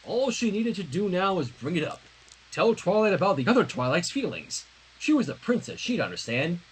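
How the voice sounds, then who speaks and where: A man narrates fanfiction for a livestream in a theatrical way. His voice is high and rough-edged, swinging widely in pitch as he moves between story and joking commentary. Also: normal speed, low-quality recording. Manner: theatrical, animated. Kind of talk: dramatic narration with joking asides.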